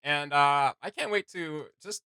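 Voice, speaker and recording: A man co-hosting a reaction video, chatty and excitable, rambling with many restarts. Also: on the high side, a little slow, clear, resonant tone, no echo, studio quality. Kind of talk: casual reaction chat.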